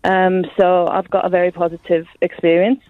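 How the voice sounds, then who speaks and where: A woman in her twenties from Estonia, calling a radio phone-in and giving her view haltingly over a phone line. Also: lowish voice, flat delivery, no room sound. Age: 20s. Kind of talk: conversational live opinion. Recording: tinny narrow phone line.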